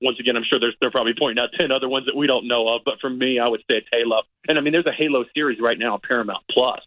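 A man's voice: medium pitch, steady tone, very fast, dry recording, narrow, tinny audio. A middle-aged man chatting casually and in a rambling way as a film critic on a radio show, often repeating himself for emphasis. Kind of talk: casual movie review chat.

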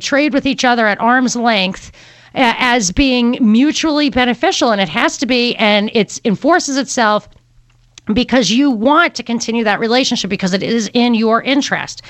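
A woman's voice: on the high side, a bit bright, no echo. Chatty woman talk radio host. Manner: opinionated, conversational, thinks aloud with fillers. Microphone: studio microphone.

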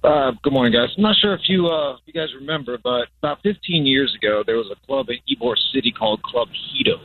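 A male caller phoning in to a radio show to tell a story in a relaxed way. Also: mid-range voice, fast talker. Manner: relaxed, clears throat. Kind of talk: phone-in storytelling. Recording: tinny phone line.

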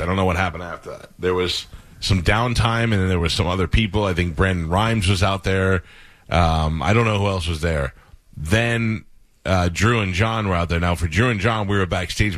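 A man's voice: deep voice, fairly bright tone, no echo. A man hosting a radio show, telling stories in a rambling, dry way with many fillers. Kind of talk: casual radio chat.

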